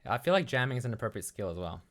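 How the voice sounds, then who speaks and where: A man's voice: medium pitch, big pitch swings, very fast. An Australian man chatting casually as a livestream host, rambling loosely and thinking aloud. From Melbourne, Australia.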